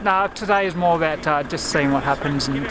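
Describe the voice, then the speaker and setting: High-voiced understated man, noisy audio. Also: fairly quick. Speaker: amateur cyclist being interviewed. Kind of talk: casual interview answers.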